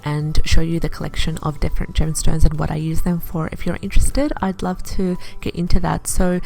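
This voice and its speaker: A woman from Iraq narrating her vlog in a chatty, enthusiastic way, pausing often with "uh". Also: low-pitched, flat delivery, vocal fry, mellow. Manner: chatty, enthusiastic, with frequent "uh" pauses. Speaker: vlogger.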